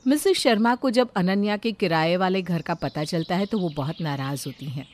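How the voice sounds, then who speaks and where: A woman narrating a moral family story in a steady storytelling manner. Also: fairly low pitch, moderate pace.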